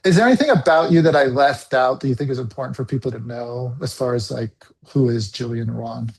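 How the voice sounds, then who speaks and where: Male interview host, friendly, halting with fillers, mid-pitched. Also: gravelly, breathy edge. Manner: friendly, many fillers, searching phrasing. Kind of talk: conversational interview.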